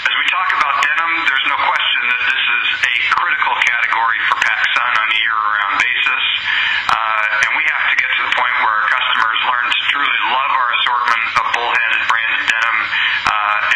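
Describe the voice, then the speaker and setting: A male company executive giving a prepared business presentation on an investor conference call, measured and corporate, with hesitations and repeated words. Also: mid-range voice, steady tone. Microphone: conference call line. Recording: noisy, echoey, distant conference call audio.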